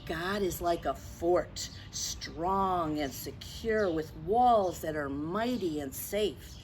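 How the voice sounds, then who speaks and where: A woman leading a children's story time, speaking deliberately and expressively, as if straight to young listeners. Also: fairly low pitch, expressive, slight background noise. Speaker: children's storyteller and religious educator. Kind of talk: children's story time, read-aloud and simple faith talk.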